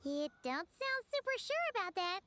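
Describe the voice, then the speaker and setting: A woman voicing a tiny, excitable and boastful female companion in a video game, chirpy and quick to exclaim. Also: extremely high pitch, slight background noise, full character voice.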